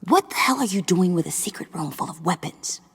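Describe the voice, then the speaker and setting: An actress playing an angry, sharp, defiant young woman in a dramatic video game, with a husky, breathy voice. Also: fairly low pitch, expressive, fairly quick, full character voice. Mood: accusing. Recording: reverberant hall sound.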